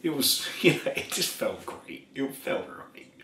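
A man's voice: medium pitch, big pitch swings, measured pace. 40 yr old guy rambling thru a story, laughs at himself, echoey kitchen, lil bit of hiss. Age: 40s. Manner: rambling, laughs often, self-mocking. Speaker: ASMR creator. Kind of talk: casual soft-spoken storytelling. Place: small kitchen indoors.

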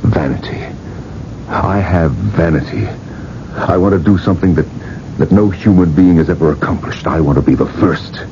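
An actor playing a vain, imperious rich industrialist in a radio drama, insistent and self-important. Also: male voice, sits low, normal speed, noisy background, close to an everyday voice. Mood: proud. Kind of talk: 1980s radio drama acting and narration. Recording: muffled old radio recording with music.

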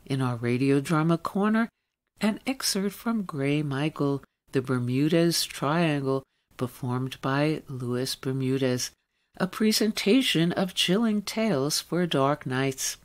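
A woman's voice: sits low, animated, slow. A woman hosting a radio show, reading a scripted introduction to the next segment.

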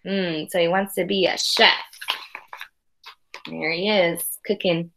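A woman in her thirties reading a picture book aloud to young children with expressive emphasis and casual asides, in a room at home. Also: fairly low pitch, takes it slowly, creaky, low-quality recording. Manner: expressive, exaggerated storytelling emphasis. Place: small room at home, indoors.

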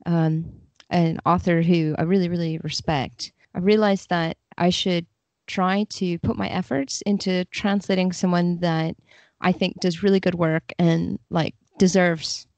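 A woman's voice: on the low side, little pitch movement, a little slow, a little mellow, no echo. A woman guest talking in a casual podcast interview, chatty and enthusiastic. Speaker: guest, literary translator.

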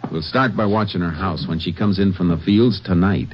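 An actor playing a stern, shrewd Texas Ranger with an American accent, dry and matter-of-fact, in an old-time radio drama. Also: male voice, deep voice, moderate pace. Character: stern, shrewd male Texas Ranger narrating his case. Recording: muffled vintage radio recording, music behind.

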